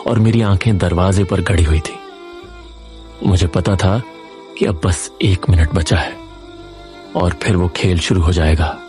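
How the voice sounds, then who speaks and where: A man's voice: sits low, a little mellow. A man narrating a scripted first-person horror story in a dramatic, suspenseful manner.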